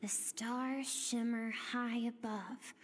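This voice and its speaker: A woman's voice: a little high, fairly even intonation, takes it slowly, slight background noise. A woman voicing a cheeky, restless young girl in a video game, eager and dramatic. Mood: wistful, dreamy. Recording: long reverb, music underneath.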